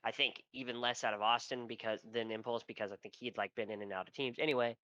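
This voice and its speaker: Male co-host, nasal and stuffy, sharp tone, dull lo-fi sound, swears and rambles. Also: medium pitch, fairly even intonation, fast, no echo. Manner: profane, rambling storyteller. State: stuffy from allergies. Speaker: podcast co-host. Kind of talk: casual esports podcast chat.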